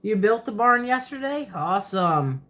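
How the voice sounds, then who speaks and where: A woman's voice: medium pitch, expressive, moderate pace, fairly bright tone. A woman from Pennsylvania with a Pennsylvania Dutch accent and a Southern twist, rambling casually and laughing as she answers viewers on a livestream. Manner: chatty and rambling, laughs often, self-correcting. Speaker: livestream host.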